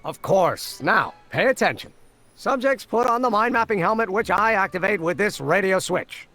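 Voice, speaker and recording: A man's voice: sits high, animated, moderate pace, pushed and bright, heavily acted. An actor playing an eager, earnest young male inventor who explains things in wordy, old-fashioned terms in a video game. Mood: instructive. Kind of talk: animated video game dialogue.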